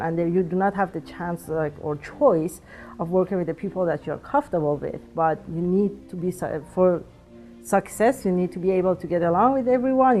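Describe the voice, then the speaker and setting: A woman's voice: on the low side, darkish tone, slight background noise, rough recording. A woman in her thirties whose first language is Farsi, answering interview questions earnestly and sometimes searching for words. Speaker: alumna guest.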